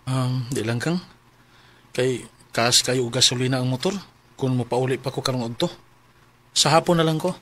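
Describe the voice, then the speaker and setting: A man's voice: mid-range voice, fairly even intonation, normal speed, pauses often, fairly bright tone, close to an everyday voice. A man with a Cebuano Filipino accent playing a devoted, anxious, tender husband and father in a sentimental radio drama. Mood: gentle, caring. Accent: Filipino, Cebuano-speaking. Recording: music behind the voice.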